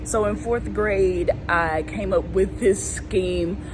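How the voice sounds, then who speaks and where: A woman's voice: medium pitch, slow pace. Chatty young woman with background noise. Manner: chatty, laughing, acts out childhood voices. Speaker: vlogger telling personal stories. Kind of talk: casual storytelling. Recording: clear background noise, vehicle ambience.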